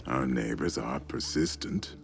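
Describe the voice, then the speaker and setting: An actor playing a grim, hardened warrior in a video game, with a gravelly voice. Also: male voice, very deep voice, unhurried, soft background music, full character voice. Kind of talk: dramatic video game acting.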